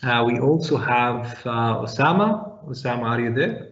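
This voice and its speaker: A man hosting an online tech meetup over a video call, speaking quickly with frequent fillers. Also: mid-range voice, expressive, fairly bright tone. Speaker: meetup host. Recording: dull echoey video call audio.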